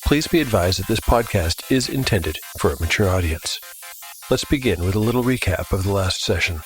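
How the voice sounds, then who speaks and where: A man's voice: low voice, lively intonation, normal speed, faint music behind, low-quality recording. A man hosting a podcast and narrating a tabletop fantasy game in an audio drama style.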